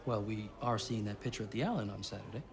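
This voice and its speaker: A man's voice: fairly low pitch, fast, creaky. A man playing an amiable, slightly awkward suitor with hesitant delivery in a 1920s period TV drama. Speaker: actor in a TV detective series. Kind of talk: naturalistic TV period drama acting. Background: background music.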